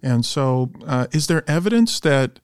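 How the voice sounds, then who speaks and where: A man's voice: mid-range voice, animated, measured pace, clear, resonant tone, no echo, clean studio sound. A man hosting a podcast interview in a polished, professional way, introducing topics and putting questions to a guest. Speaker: podcast host and investment strategist.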